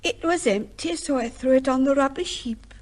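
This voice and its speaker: A woman's voice: high-pitched, moderate pace, lightly acted. An actress playing a timid, deferential young working woman in an old-time radio drama, speaking hesitantly with stammers. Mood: nervous, hesitant.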